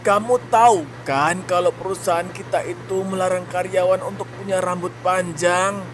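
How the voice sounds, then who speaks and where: A man's voice: high-pitched, fairly even intonation, normal speed, a little hiss or hum, natural voice. A man playing a bossy male factory supervisor in a cartoon drama, with a chiding, authoritative manner. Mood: scolding. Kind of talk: animated cartoon drama dialogue.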